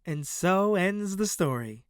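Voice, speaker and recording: A man playing a solemn storyteller, giving measured, dramatic storybook narration for a fairy-tale stage play. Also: high-pitched, lively intonation, clean tone, dry recording, studio quality. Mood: solemn, formal.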